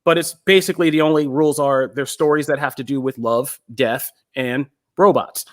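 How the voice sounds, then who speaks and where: A man's voice: medium pitch, fairly even intonation, normal speed. An American man from Pennsylvania, talking casually and in a rambling way as a podcast host giving pop culture recommendations. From Pennsylvania, United States.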